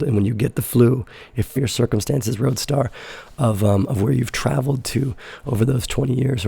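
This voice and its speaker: A man in his sixties, hoarse and deeply gravelly, with a husky, worn-out rasp. He chats live with viewers in a blunt, fiery, swearing manner. His tone is dark and mellow, and the sound is dry and close. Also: no room sound. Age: 60s. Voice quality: raspy. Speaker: host of a live crypto trading show. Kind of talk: live-stream chat answering viewer questions.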